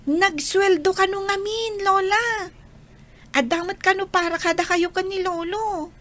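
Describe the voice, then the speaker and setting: A woman's voice: very high voice, fairly even intonation, measured pace, a bit bright. A woman voicing a young child talking earnestly with family, acting in an Ilocano radio drama with a northern Philippine accent. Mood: cheerful.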